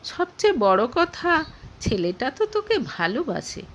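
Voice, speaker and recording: A woman narrator voicing a gentle middle-aged mother who soothes and advises her daughter in an audio story. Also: high-pitched, big pitch swings, a little slow, a little hiss or hum, full character voice. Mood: soothing.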